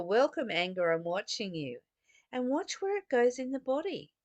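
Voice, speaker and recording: Slow, creaky-voiced woman giving spiritual guidance. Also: on the high side, dry recording. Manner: deliberate short phrases with emphatic stresses. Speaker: spiritual teacher. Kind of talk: spiritual teaching talk, intimate and meditative.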